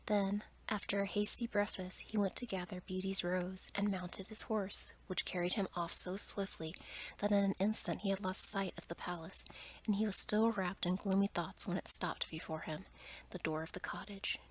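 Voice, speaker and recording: A woman reading a bedtime story aloud in ASMR style, in a soft, breathy whisper with careful, hushed delivery. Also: mid-range voice, steady tone, moderate pace, poor audio.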